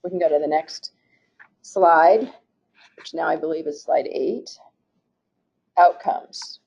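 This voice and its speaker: A woman from a state agency presenting slides in a webinar, reading through program goals in a steady, formal way with occasional restarts. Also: low voice, slow pace, gravelly, slightly rough. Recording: muffled, dull webinar audio.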